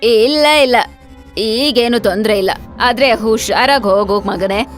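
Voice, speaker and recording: A woman voicing a motherly, ominous eatery keeper who tells ghost tales dramatically, with loud music behind her. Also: a little high, unhurried, natural voice.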